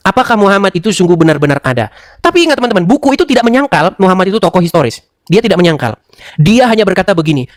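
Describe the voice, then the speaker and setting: A man's voice: very high-pitched, a bit bright, dry recording, clean studio sound. A man arguing in an online debate, mocking and pressing with rhetorical questions. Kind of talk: heated debate and commentary. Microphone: online voice room.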